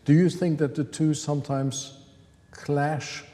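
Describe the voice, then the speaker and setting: A man's voice: fairly high pitch, little pitch movement, takes it slowly, warm tone. A male interviewer asking long, searching questions with frequent hesitations. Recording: long reverb of a hall.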